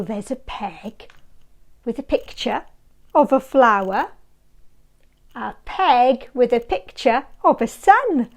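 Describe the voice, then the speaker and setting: A woman reads a picture book to little ones in a high, bright-toned voice that swoops up and down. She takes every word slowly and warmly drawn out, teasing with questions and dropping to a hush before counting to three. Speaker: storybook reader. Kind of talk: reading a picture book aloud to small children.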